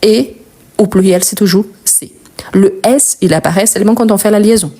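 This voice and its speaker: Mellow-voiced Brazilian-born woman teaching French. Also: medium pitch. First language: Portuguese. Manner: slow, repeats example words for learners. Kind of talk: explaining grammar to learners.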